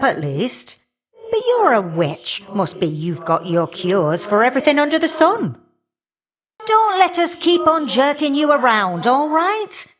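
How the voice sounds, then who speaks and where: An actress voicing a warm, feisty old great-aunt fire goddess for a video game, with folksy, teasing, old-fashioned talk. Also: female voice, a little high. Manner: folksy, teasing, old-fashioned turns of phrase, calling listeners dearie. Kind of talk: video game character acting.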